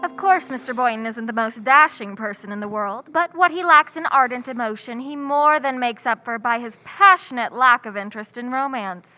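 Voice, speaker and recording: A woman's voice: on the high side, normal speed, hardly any pauses, bright, forceful tone, soft background music, rough recording. A woman playing a wry, lovelorn schoolteacher in old-time radio comedy, with dry, theatrical wisecracks. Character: wry, lovelorn female high school English teacher.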